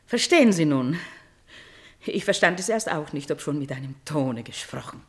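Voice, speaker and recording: A woman's voice: deep voice, animated, moderate pace. An actress playing a distraught, shrewd noble mother in a classical radio drama, intense and pointedly emphatic in her accusations. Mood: accusing, bitter. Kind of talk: classical German stage-play radio acting.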